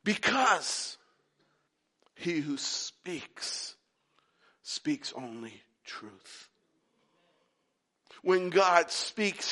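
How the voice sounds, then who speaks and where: A man's voice: high voice, fairly bright tone. A man preaching a sermon, using emphatic repetition and rhetorical questions aimed at the listeners.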